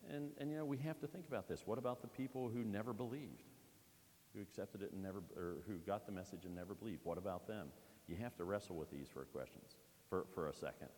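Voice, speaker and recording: A man from Pennsylvania preaching a conversational sermon in a church, with lively asides to the congregation. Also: fairly low pitch, in a large hall, a little hiss or hum. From Pennsylvania, USA. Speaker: pastor.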